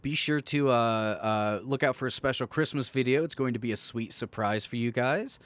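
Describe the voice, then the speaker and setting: Male podcast co-host: mid-pitched, casual, jokey and rambling, very dry sound. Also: moderate pace, fairly bright tone, dry recording.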